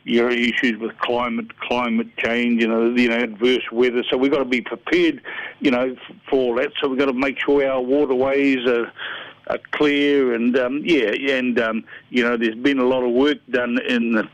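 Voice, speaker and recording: A man's voice: medium pitch, little pitch movement, moderate pace, no room sound. Older kiwi man on a muffled phone line. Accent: New Zealand. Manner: earnest, plain-spoken, searching for words. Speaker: council candidate guest. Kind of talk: phone interview. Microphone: telephone.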